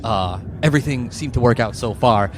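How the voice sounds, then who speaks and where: A man's voice: medium pitch, fairly quick, over music. A man working as game master, improvising narration for a tabletop game. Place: room with the players.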